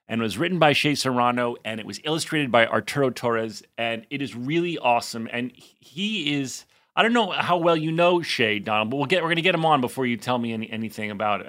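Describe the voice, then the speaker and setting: A man's voice: medium pitch, fairly bright tone. A man co-hosting a podcast, chatting casually with playful teasing. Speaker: podcast co-host. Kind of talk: casual chat.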